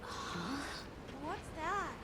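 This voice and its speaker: A woman's voice: high voice, big pitch swings, extremely slow, full character voice. A woman playing a youthful, caring, curious companion in a video game. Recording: loud ambient noise behind.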